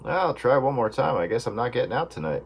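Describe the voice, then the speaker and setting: A man's voice: mid-range voice, sing-song, fast, vocal fry. A man chatting casually over two-way radio in plain, folksy radio slang. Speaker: amateur radio operator. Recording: very noisy radio with static and clicks.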